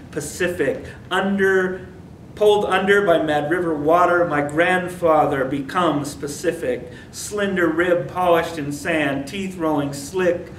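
Male poet at open mic, measured recitation, distant mic, reverberant room. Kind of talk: poetry reading. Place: library meeting room, seated. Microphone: stand mic, far camera. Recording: echoey room, distant mic, faint rumble.

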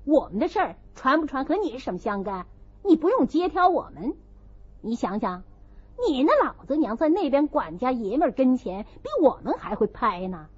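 A woman's voice: high-pitched, very animated, moderate pace, breathy edge, muffled recording, full character voice. A woman voicing a surly, tipsy old servant woman who snaps dismissively and quarrels in an audiobook story. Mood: angry. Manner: dismissive, snapping.

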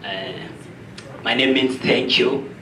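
A man's voice: medium pitch, slow pace, bright, forceful tone. A young man with a South African accent introducing himself to an audience in an echoey, slightly noisy room. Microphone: handheld microphone. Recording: echoey room with background noise.

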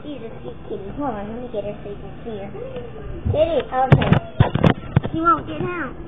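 A woman's voice: fairly high pitch, lively intonation, fairly quick, very noisy. Chatty young girl on tinny phone audio. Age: child. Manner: chatty, rambling, restarts sentences. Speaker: vlogger giving a house tour. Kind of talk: casual house tour. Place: family home, indoors. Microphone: handheld phone camera.

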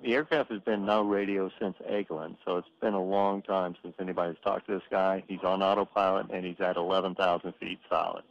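A man working as an air traffic controller, giving courteous, measured instructions over the radio. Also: low voice, level tone, creaky. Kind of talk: radio phraseology. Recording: muffled radio transmission with hiss.

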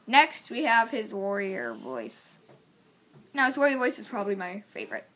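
A woman's voice: medium pitch, very animated, moderate pace, a bit bright. A woman chatting casually as she introduces her amateur voice audition lines. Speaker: amateur voice actor.

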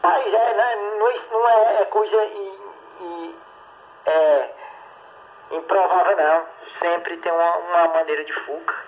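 A man's voice: high-pitched, a little slow. Man chatting over tinny radio. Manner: rambling, full of tag questions and restarts. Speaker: ham radio operator. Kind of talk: casual chat over two-way radio. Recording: tinny radio transmission with faint hiss.

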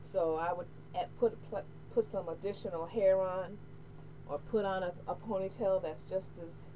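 Middle aged american lady vlogging at home, normal pitch, chatty n candid, bit of webcam noise. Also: normal speed. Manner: candid, expressive, repeats phrases for emphasis. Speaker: hair vlogger. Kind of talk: casual chat to camera. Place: small room at home, indoors. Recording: noisy home webcam audio.

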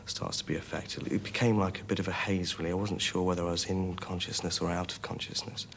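A man's voice: sits low, little pitch movement, fast talker, a bit bright. A young man reflecting on his own experience in an interview. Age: young adult. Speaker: interviewee, rescued caver. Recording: clear background noise.

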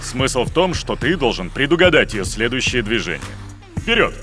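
An actor playing a calm, patient adult lion coach in a children's cartoon, speaking in a measured, instructive way. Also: male voice, mid-range voice, faint music behind. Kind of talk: animated children's series acting.

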